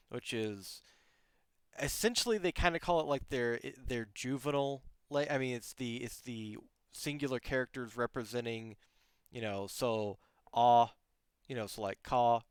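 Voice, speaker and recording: A man's voice: medium pitch, clear, resonant tone, no room sound, clean studio sound. A man hosting a live-streamed podcast interview, rambling casually with many restarts and frequent laughs. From outside Germany. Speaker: podcast host.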